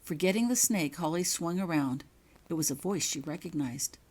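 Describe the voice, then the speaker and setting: A woman reading her own fiction as a scripted, dramatic story narration, in measured, suspenseful short phrases. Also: low voice, animated, darkish tone, husky.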